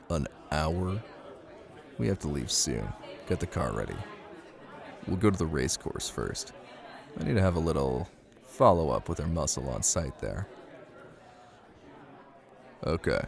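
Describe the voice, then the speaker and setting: Guy acting as super deep brooding mafia husband for asmr roleplay, bossy but protective, pitch moves around a lot. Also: creaky, full character voice. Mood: businesslike. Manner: blunt, commanding, swearing, low-key intimate delivery. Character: brooding, possessive young mafia heir, commanding yet protective new husband. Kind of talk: intimate ASMR roleplay audio drama.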